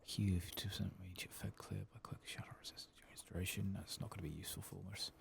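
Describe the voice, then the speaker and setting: A man giving soft-spoken, sometimes whispered ASMR gameplay commentary, murmuring and musing as if to himself. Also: deep voice, level tone, quick pace, gravelly. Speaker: game streamer.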